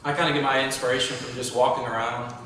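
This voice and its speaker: A man's voice: medium pitch, flat delivery, fast talker, sharp tone. Male screenwriter, casual panel answers, recorded far from the mic with heavy echo. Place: indoor room at a table read event. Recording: distant, very echoey, noisy room.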